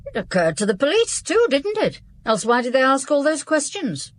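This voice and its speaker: An actress playing a guarded former servant questioned by the police in a radio drama, dry and evasive, then blunt. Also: female voice, sits high, sing-song, moderate pace. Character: guarded, sharp-tongued woman, former servant witness. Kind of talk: 1950s-set radio drama acting.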